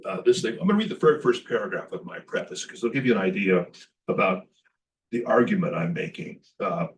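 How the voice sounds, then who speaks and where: A poet from Los Angeles speaking at a book event, halting and repeating words as he starts each thought. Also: on the low side, animated, far from the mic. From Los Angeles, California. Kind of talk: book event talk and reading.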